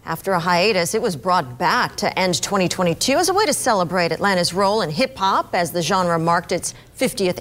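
A woman's voice: fairly low pitch, a bit bright. A woman reading a scripted news report.

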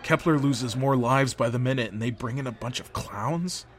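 A man acting a combative soldier in an audio drama. He sounds blunt, crude and sneering, in a mid-pitched voice. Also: normal speed, natural voice. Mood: scornful. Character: scornful, hot-headed male soldier, crude and combative.

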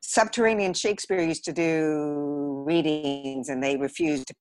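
A woman's voice: low-pitched, steady tone, measured pace, darkish tone, dry recording, soft background music. A woman with an American accent chatting casually as an interview guest who works as a theater director. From Los Angeles area, United States. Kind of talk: casual conversation.

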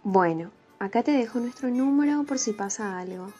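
A woman's voice: fairly high pitch, lively intonation, normal speed, warm tone, faint music behind, close to an everyday voice. A woman playing a friendly, practical young wife and mother in a radio drama.

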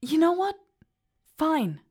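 A woman's voice: high-pitched, sing-song, takes it slowly, heavily acted. A woman with an Australian accent playing a worried, defensive mother in a radio drama. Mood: exasperated. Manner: indignant, emotional.

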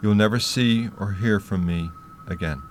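An actor playing a stern, solemn spirit who guides the dead in a radio play, grave and deliberate. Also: male voice, lowish voice, faint music behind, close to an everyday voice. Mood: firm, solemn.

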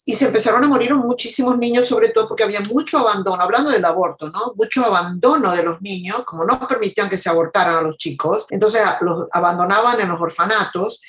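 A woman's voice: medium pitch, quick pace, hardly any pauses, fairly bright tone. Reflective woman recounting in an interview. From United States, Argentine family. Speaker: journalist guest being interviewed. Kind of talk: conversational interview storytelling.

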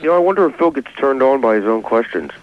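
Fast-talking man on a dull phone line. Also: medium pitch, animated. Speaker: prank caller phoning a radio show. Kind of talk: casual phone chat. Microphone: telephone. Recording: dull, band-limited phone line, dry.

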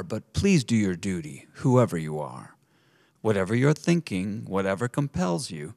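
Man voicing a serene, sweet older mother. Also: lowish voice. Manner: serene, gently firm.

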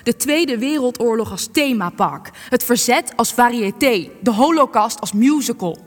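An actress in a Dutch radio play, playing a sharp, cynical researcher who is sardonic, wordy and needling. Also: female voice, a little high, expressive, normal speed. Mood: scornful, skeptical. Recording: long reverb, music underneath.

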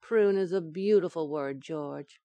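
Radio sitcom wife: woman actor, coy wisecracker, lowish voice, close dry sound, teasing delivery. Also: unhurried, dark tone, no room sound. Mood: affectionate. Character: wisecracking, coy, affectionate young housewife. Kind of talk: old-time radio sitcom acting.

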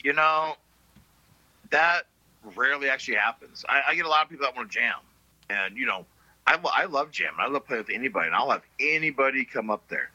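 Husky, bright-toned middle-aged man. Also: mid-range voice, fast, no echo, dull audio. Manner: relaxed storytelling, many fillers. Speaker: blues musician guest. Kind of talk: casual interview chat.

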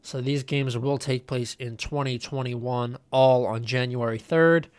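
A man's voice: medium pitch, fairly even intonation, unhurried, clean tone, no echo. A young man hosting a casual solo podcast, nasal and congested from a cold, rambling loosely with lots of ums and uhs. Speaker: podcast host.

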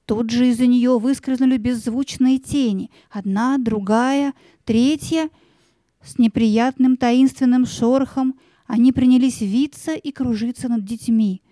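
Mellow, fairly high woman storyteller. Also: fairly even intonation, measured pace, no echo. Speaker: narrator. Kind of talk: scripted storytelling narration.

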